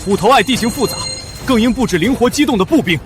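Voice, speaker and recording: An actor playing an anxious, dutiful military officer in a costume war drama. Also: male voice, very high voice, expressive, fast. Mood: firm. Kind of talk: period war drama acting. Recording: dramatic music under the dialogue.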